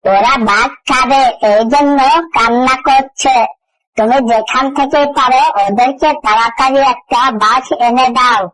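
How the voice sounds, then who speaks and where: A woman plays a worried but crafty vixen wife in a storybook cartoon. Her voice is fairly high and recorded dry, with an obedient manner. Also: measured pace, a bit bright, no echo, rough recording. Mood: scheming, pleading. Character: anxious but obedient, cunning mother fox. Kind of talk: cartoon fairy-tale acting.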